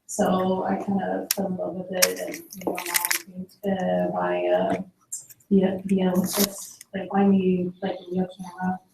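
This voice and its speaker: A woman's voice: fairly low pitch, measured pace, vocal fry, background noise. A young woman from Sweden, a photographer, talking reflectively about her path into the work, with frequent fillers. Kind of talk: personal talk about her career. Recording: echoey hall, heard from a distance.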